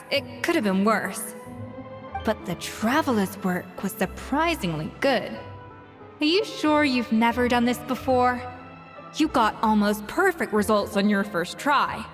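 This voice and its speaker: A woman playing an exacting, haughty young master chef in a video game, speaking in a crisp, blunt, imperious way. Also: medium pitch, animated, measured pace, in a large hall, lightly acted. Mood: measured, appraising. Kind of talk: video game character acting. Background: game music.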